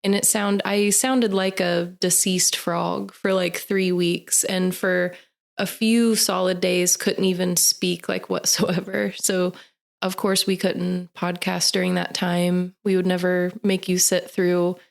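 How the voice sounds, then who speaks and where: A woman's voice: fairly low pitch, flat delivery, slow pace, vocal fry. A woman in her thirties co-hosting a casual podcast, speaking candidly, her voice still recovering after losing it. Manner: candid, chimes in to finish her partner's sentences. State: voice recovering from laryngitis. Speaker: podcast co-host. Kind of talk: casual chat.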